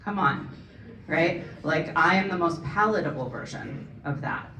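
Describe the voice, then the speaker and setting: A low-pitched woman with a Californian accent speaks earnestly on a panel, often checking in with "right?". Her voice carries through an echoey hall, picked up from a distance. Faint noise sits under the room. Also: pushed and bright. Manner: earnest, frequent "right?" tags, quick asides. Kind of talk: panel discussion answer. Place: forum event hall.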